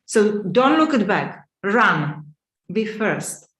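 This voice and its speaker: A businesswoman gives firm, slow advice on an online panel, speaking in short sentences with nonnative phrasing. Her voice carries an echo from the room she is in. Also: medium pitch, big pitch swings, a bit bright. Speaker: business owner, guest speaker.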